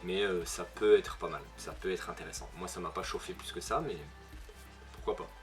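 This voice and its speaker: A man's voice: deep voice, normal speed. A young man with a northern French accent reviewing manga casually, with many fillers and self-corrections. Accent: Northern French (ch'ti). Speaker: manga video host. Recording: music playing behind.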